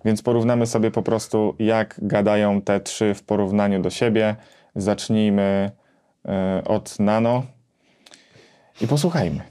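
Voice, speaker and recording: Low mellow man reviewing music gear. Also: steady tone, measured pace, smooth. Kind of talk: conversational gear comparison commentary. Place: small home music studio, indoors.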